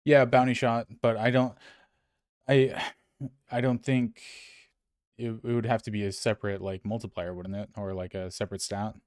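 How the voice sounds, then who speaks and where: A man co-hosts a relaxed gaming podcast and reads out listener emails. His voice sits low, and he rambles and corrects himself as he goes. The recording is dry and close to the mic. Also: normal speed, dry recording. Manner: rambling, self-correcting, chuckles often. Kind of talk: casual gaming podcast chat.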